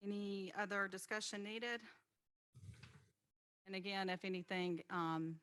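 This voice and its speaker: Bright-voiced woman chairing a council committee. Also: medium pitch, fairly even intonation, measured pace, clean tone, dry recording, clean studio sound. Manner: businesslike, procedural, with frequent um fillers. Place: city hall meeting chamber.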